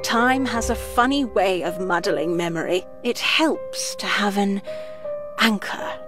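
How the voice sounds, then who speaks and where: Over prominent music, an actress plays a stern older nun from a visual novel. She speaks slowly and deliberately, with patronizing composure and pious authority. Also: medium pitch, close to an everyday voice. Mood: calm, instructive. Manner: measured, deliberate, condescending. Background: game background music.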